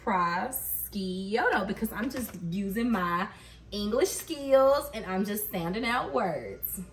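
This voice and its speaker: A woman's voice: mid-range voice, expressive, slow. Mom vlogger in her 30s chatting while cooking, kinda far from the camera, roomy kitchen echo. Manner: chatty storyteller, laughs often. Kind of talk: cook-with-me vlog chat. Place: home kitchen, indoors. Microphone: camera across the kitchen. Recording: echoey kitchen, camera mic at a distance.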